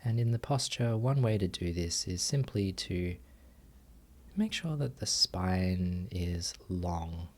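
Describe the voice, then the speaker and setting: Low, slow-paced man guiding meditation. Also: lively intonation. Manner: gentle instructional, with long pauses. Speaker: meditation teacher. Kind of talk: guided meditation.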